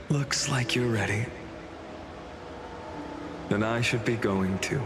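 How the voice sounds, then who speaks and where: Slow, gentle, weary male companion. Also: mid-range voice, background noise. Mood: wistful. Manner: slow, measured, soft-spoken with sighs. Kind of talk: dramatic video game voice acting. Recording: studio voice over loud music.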